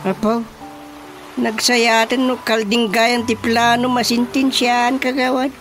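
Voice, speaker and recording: High-pitched Ilocano village chairman played by a man. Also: level tone, slow pace, fairly bright tone, faint music behind, heavily acted. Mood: chatty. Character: talkative, folksy village chairman, sociable and teasing. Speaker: radio drama voice actor. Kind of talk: Ilocano radio drama comedy acting.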